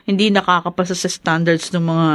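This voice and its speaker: An actress playing a patient, hard-working wife in a radio drama, mild and a little defensive. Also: female voice, on the low side, little pitch movement, a little fast, no echo, clean studio sound, natural voice.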